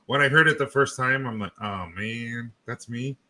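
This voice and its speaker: A man's voice: mid-range voice, moderate pace, bright, forceful tone. A man in his forties hosting a casual livestream, greeting viewers by name and laughing often. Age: 40s. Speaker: livestream host.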